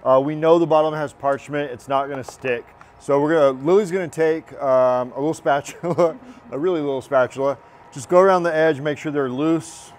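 Chef teaching in a kitchen. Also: male voice, on the high side, slight background noise. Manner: relaxed, encouraging, talks while working. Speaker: culinary instructor. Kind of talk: cooking demonstration. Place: large teaching kitchen, indoors.

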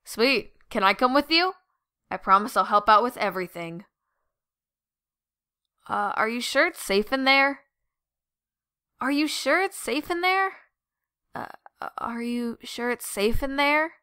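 A woman's voice: fairly high pitch, animated, unhurried, long pauses, pushed and bright, clean tone. A woman voicing an eager, spirited youngster in an exclamatory way for a character audition. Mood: nervous. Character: eager, spirited youngster keen to tag along.

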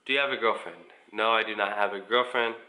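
Young American man, very bright voice. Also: on the low side, fairly even intonation, fast talker, poor audio. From United States, mostly Indiana. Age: around 20. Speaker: vlogger answering viewer questions. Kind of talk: casual question-and-answer chat to camera. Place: small living room at home, indoors.

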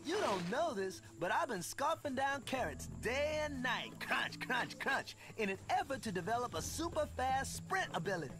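A playful, streetwise zebra from a cartoon game. The American voice is strikingly high and bounces around with jokey swagger, over a music bed. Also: male voice, big pitch swings, moderate pace, few pauses. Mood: boastful, playful. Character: brash, fast-talking, playful male zebra. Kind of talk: animated video game acting.

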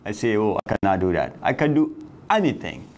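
Man, 30s, English, gravelly low voice, light noise behind. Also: big pitch swings, brisk. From Afghanistan. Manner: earnest, with non-native phrasing and restarts. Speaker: interviewee. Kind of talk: sit-down interview. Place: indoor office room.

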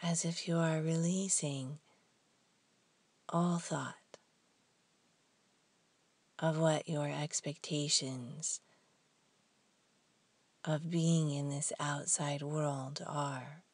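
A woman leading a guided meditation in a soft, hushed, breathy voice, leaving long pauses between short phrases. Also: low voice, fairly even intonation, no room sound.

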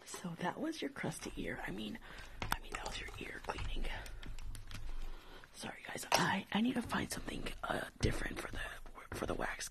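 A young woman whispering breathily in an ASMR medical roleplay, giving soft, repetitive, casual instructions close to the mic. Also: mid-range voice. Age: young adult. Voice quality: breathy whisper, mostly unvoiced. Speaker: ASMR streamer playing a doctor. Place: small room at home.

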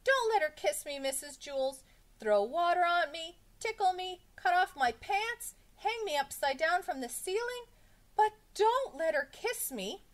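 A woman voicing a whiny, panicky young schoolboy from a children's storybook, exclaiming and pleading in drawn-out cries. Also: fairly low pitch, sing-song. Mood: panicked.

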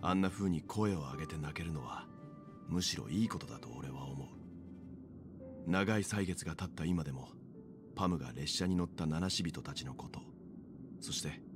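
A man acts as a mature, composed mentor in an anime game. He has a deep voice and explains things thoughtfully and evenly, over clear background music. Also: close to an everyday voice. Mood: gentle, reflective. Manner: measured, explanatory.

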